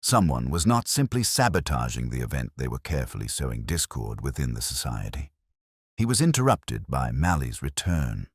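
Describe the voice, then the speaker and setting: A man narrating a scripted mystery story in a measured, literary way. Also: extremely low pitch, very animated, breathy edge, dry recording, studio quality.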